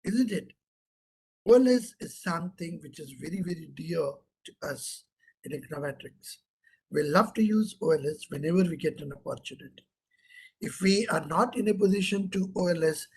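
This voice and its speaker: A middle-aged man with an Indian English accent teaches an online class over a computer mic. His voice sits fairly high and a little husky, and he keeps quizzing students and repeating key terms. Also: rough recording. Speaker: professor lecturing online. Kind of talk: interactive online lecture.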